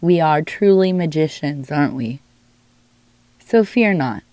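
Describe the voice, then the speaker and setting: A woman with a hoarse, worn voice from illness gives a soft-spoken tea leaf reading, musing and reassuring as she speaks straight to the listener. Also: low voice, animated, measured pace, no room sound. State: hoarse, losing her voice from illness.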